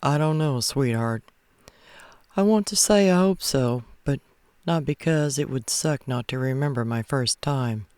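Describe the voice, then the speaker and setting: A man's voice: medium pitch, unhurried, darkish tone, clear, resonant tone, dry recording, close to an everyday voice. A man voicing an earnest, devoted young cowboy groom in a romance novel. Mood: tender.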